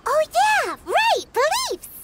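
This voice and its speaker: An actress voicing a tiny, chirpy, childlike female fairy companion in an anime game, squeaky and excitable. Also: slow pace, heavy background noise, exaggerated voice. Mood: chirpy, explaining. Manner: excitable, blunt, speaks in third person. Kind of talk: English anime game voice acting. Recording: music loud behind the voice. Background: loud game music.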